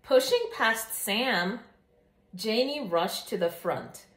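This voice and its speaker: A woman presents children's books in a lively, swooping voice with an unhurried pace. Her delivery is enthusiastic and deliberate, like a storyteller. The room adds noticeable echo. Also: on the high side. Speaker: book reviewer and children's read-aloud host. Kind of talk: scripted-sounding channel introduction and animated children's story reading.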